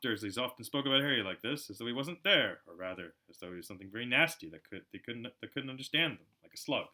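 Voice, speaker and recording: Animated, bright-voiced man reading a story. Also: mid-range voice, fast talker. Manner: animated, dramatic. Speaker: amateur audiobook reader. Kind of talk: expressive storybook narration.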